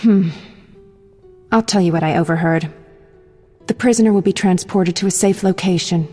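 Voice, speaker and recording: An actress playing a young medic in a video game, a concerned friend who is direct and earnest. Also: female voice, on the low side, normal speed, warm tone, faint music behind, lightly acted. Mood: concerned, firm.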